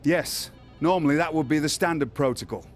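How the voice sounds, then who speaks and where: A man playing a sly, genteel British general manager in a wrestling video game, formal and smoothly condescending. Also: fairly high pitch, brisk, faint music behind, lightly acted. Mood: genial, sly. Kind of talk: video game cutscene acting.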